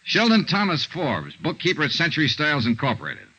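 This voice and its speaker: An American actor playing a brisk, hard-nosed insurance investigator and narrator in a 1950s radio serial, with a clipped, dry, businesslike delivery. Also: male voice, on the high side, sing-song, pushed and bright, exaggerated voice. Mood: brisk, narrating. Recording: muffled 1950s radio recording.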